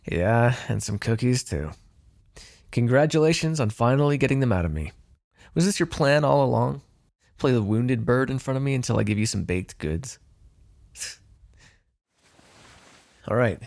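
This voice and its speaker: A man's voice: medium pitch, creaky, darkish tone, dry recording, studio quality. A man playing a smug, teasing vampire in an intimate ASMR roleplay, sardonic and flirtatious, possessive but fond. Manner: sardonic, flirtatious, swearing, sighing.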